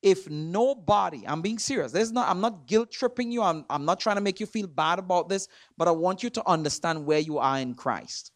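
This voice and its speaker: A man from Trinidad with a Trinidadian accent, preaching in a church. He stresses words hard, repeats himself and swings between loud and soft. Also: high-pitched, animated, moderate pace, few pauses, dry recording, studio quality. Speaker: guest preacher. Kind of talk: sermon. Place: church sanctuary.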